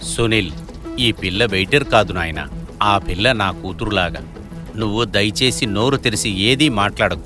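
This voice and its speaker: A man voicing a kind elderly eatery owner in an animated moral story, speaking in a gentle, plain, fatherly manner. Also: lowish voice, moderate pace, music in the background, close to an everyday voice. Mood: mildly scolding.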